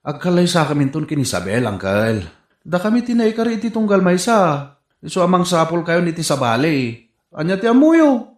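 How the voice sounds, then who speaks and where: A man's voice: a little high, big pitch swings, normal speed. A man playing a frank young nephew in an Ilocano radio comedy drama, with an Ilocano accent. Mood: earnest. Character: frank young nephew advising his uncle. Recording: roomy sound with music.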